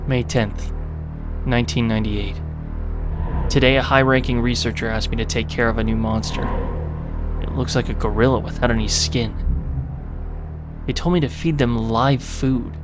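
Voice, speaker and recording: A man's voice: medium pitch, steady tone, moderate pace, pauses often, over music, natural voice. A man giving a dramatic reading as an animal keeper writing a diary while he sickens in a horror game. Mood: weary, uneasy. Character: male animal keeper diarist, sickening into a feral zombie.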